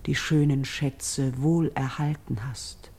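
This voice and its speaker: A noble exiled priestess from a classical radio drama, mourning with solemn devotion. Her voice is dark and mellow with a heavy creak. She declaims her verse very slowly, sometimes sinking into a hush. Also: very deep voice, steady tone, heavily acted. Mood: pleading, devout. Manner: solemn, declamatory, measured, at times hushed. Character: grieving exiled royal priestess, noble and devout.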